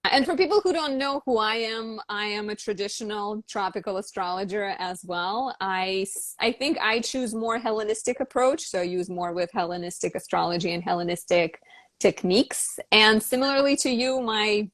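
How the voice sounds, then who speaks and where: A woman's voice: mid-range voice, normal speed, a bit bright, no echo. A woman hosting a casual live chat about astrology, talking easily with lots of filler words. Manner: chatty, frequent "like" fillers. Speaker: astrologer and channel host.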